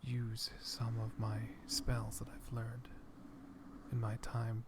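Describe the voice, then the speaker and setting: A man voicing a gentle, chatty dragon who soothes a hero toward sleep, speaking in a soft whisper for roleplay ASMR. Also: mid-range voice, monotone, slow, very noisy, lightly acted. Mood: soothing. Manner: soft-spoken whisper with tongue clicking; chatty, slightly absent-minded rambling.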